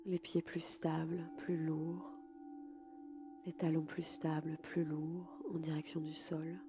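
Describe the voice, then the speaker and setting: A woman guiding a relaxation session slowly and calmly, in a soft, breathy voice that often nears a whisper. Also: sits low, noisy background. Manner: calm, soothing, unhurried. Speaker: podcast host and yoga nidra guide. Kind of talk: slow, soft guided relaxation.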